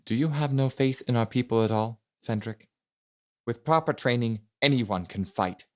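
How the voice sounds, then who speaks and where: A man voicing a bold, passionate young prince in an audiobook, making deliberate, commanding speeches. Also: mid-range voice, a little mellow, natural voice. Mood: fiery. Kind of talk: dramatic audiobook character reading.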